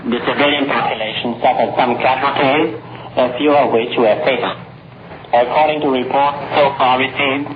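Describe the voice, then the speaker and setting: A noisy, dull shortwave recording from the 1940s carries a male newsreader. He has a mid-pitched voice and delivers a scripted bulletin in a formal, measured way. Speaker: radio newsreader.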